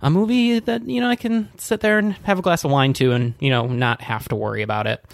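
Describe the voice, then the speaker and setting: Gravelly-voiced man in casual chat. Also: a little high, steady tone, fast, dry recording, clean studio sound. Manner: chatty, filler-heavy, laughs often. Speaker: podcast co-host. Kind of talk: casual chat reviewing a movie.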